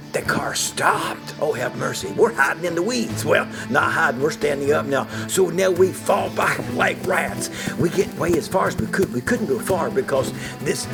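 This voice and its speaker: A man's voice: a little high, creaky. An older man from West Virginia, telling a folksy true story from his childhood, chuckling often and dropping to a whisper for suspense.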